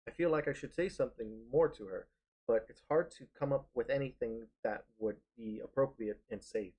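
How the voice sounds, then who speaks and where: A man narrating a first-person story aloud, reading haltingly with small stumbles. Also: medium pitch, darkish tone. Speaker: streamer reading a visual novel. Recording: clearly noisy background.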